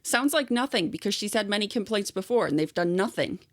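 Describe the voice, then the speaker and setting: A woman co-hosting a podcast, chatting casually and reading online stories aloud in a steady storytelling way. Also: medium pitch, fairly quick, dry recording, studio quality. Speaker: podcast co-host.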